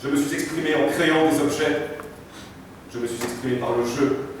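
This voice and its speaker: A young man with a slightly high voice calmly declaims from a stage. He is far from the mic in a hall with a long reverb. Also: normal speed, slight background noise. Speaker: drama student. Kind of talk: stage recitation. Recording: echoey hall, distant mic.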